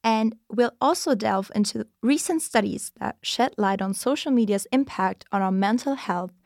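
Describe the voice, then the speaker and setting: 19 yr old girl hosting a podcast, upbeat scripted read, mid pitch, dry sound. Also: moderate pace, a little mellow, dry recording. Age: teens. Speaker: podcast host. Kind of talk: scripted podcast intro and moderation.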